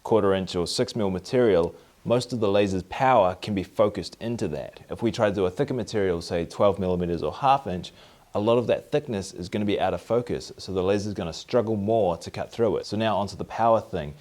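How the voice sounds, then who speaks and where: A man in his thirties with a New Zealand accent talks to camera from his garage workshop. His voice sits a little low, and he explains with upbeat energy and lively hand gestures. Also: brisk. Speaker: maker video host. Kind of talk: explanatory maker vlog. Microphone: camera at mid-distance, framed from the waist up.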